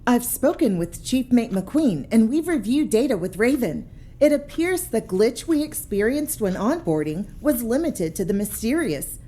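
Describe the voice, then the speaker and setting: A woman's voice: fairly high pitch, sing-song, normal speed, warm tone, breathy edge. A woman voicing a starship purser who reports to an inspector in a businesslike way. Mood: reporting. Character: ship's purser, woman, dutiful and tired.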